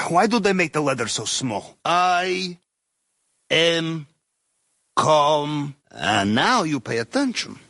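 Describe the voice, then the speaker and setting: An actor playing a jovial, deferential Russian submariner in a video game, speaking courteous, slightly broken English with a Russian accent and wry dark humour. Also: male voice, fairly high pitch, big pitch swings, slow, long pauses, dry recording, studio quality, full character voice. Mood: muttering to himself. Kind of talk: video game character dialogue.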